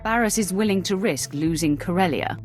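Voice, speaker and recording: A woman's voice: fairly low pitch, moderate pace, soft background music, close to an everyday voice. An actress playing a composed, steely female Sith warrior in a video game, controlled, formal and confident. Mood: composed, assured. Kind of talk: video game dialogue acting.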